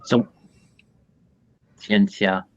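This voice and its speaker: A man's voice: lowish voice, fairly even intonation, muffled recording. A man acting as game master, talking casually and correcting himself as he goes. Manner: casual, halting, self-correcting. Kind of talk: running a tabletop game session.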